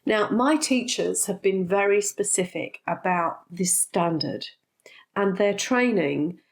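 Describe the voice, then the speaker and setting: A woman's voice: medium pitch, animated, takes it slowly, vocal fry. A middle-aged woman, a bit congested from a cold, talking candidly to her viewers with frequent ums. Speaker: spiritual teacher and vlogger. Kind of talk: casual talk to camera.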